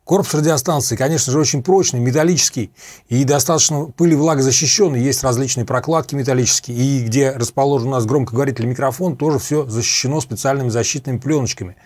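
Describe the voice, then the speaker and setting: A man's voice: medium pitch, fast talker, darkish tone, dry recording. A middle-aged man presenting a piece of equipment in an explanatory, methodical way, restating and clarifying terms as he goes. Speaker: host reviewing radio equipment. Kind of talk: explanatory product demonstration. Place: small room at a computer desk, indoors.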